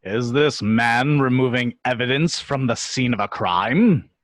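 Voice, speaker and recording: A man acts out a villainous judge at a table read. He is cold and authoritative, with slow, deliberate delivery. The sound is dry, with hardly any room on it. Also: medium pitch, dry recording, lightly acted. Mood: cold, commanding. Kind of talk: amateur screenplay table read.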